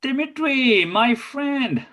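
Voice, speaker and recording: A man's voice: very high-pitched, lively intonation, takes it slowly, sharp tone, exaggerated voice. A man playing an earnest thirty-something spy in a scripted table read, speaking in formal, stilted phrases. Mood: welcoming.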